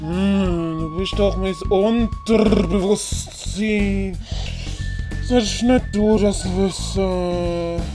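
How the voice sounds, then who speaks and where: A woman voicing a blunt, drowsy and easily startled male captain in an audiobook, sleepy and gruff in manner. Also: mid-range voice, extremely slow, over music, voice pushed down. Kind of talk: dramatized audiobook character voice.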